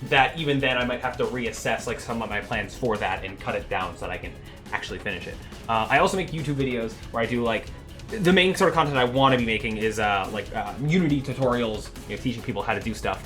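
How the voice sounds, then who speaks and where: A man's voice: medium pitch, fast, fairly bright tone. Rambling young man streaming game dev. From Canada. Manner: rambling, chatty, laughs often, trails off and restarts. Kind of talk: rambling casual livestream chat. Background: faint music.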